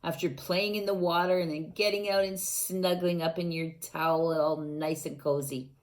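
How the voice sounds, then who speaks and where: A woman reading a picture book aloud to young children, gently asking them questions and adding playful sound effects. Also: on the low side, steady tone, moderate pace. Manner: gentle, coaxing, asks the young listeners questions.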